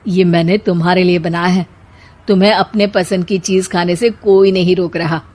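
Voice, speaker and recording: A woman voicing a patient young husband in a cartoon story, in a calm, soothing way. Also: on the low side, moderate pace, voice pushed down, close to an everyday voice. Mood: placating. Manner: calm, placating.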